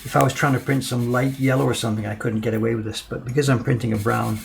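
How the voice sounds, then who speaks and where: Older male craftsman, gravelly mid-pitched voice, chatty live-stream talk, faint hiss behind. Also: steady tone, quick pace. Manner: chatty, answers viewer questions while printing. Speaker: woodblock printmaker streaming his work. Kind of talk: casual live-stream chat while working.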